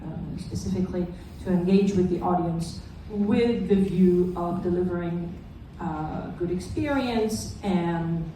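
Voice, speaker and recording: Woman, lowish mellow voice, measured explanatory panel talk, opinionated, informal. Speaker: conference panelist, globalization director. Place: indoor conference room. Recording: echoey room, distant mic, faint noise.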